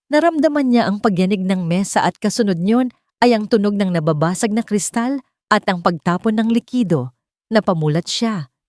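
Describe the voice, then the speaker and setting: A woman narrates a scripted romance story in short, suspenseful, deliberate phrases. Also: mid-range voice, lively intonation, darkish tone, no room sound, clean studio sound. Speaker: narrator of romance audio stories.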